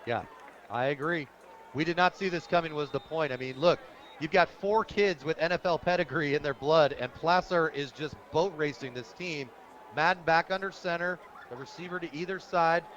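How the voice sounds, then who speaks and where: An American man calling live football play-by-play on the radio in an animated, colloquial, opinionated way. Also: a little high, normal speed. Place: stadium press booth, radio broadcast. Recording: muffled broadcast audio, outdoor stadium noise.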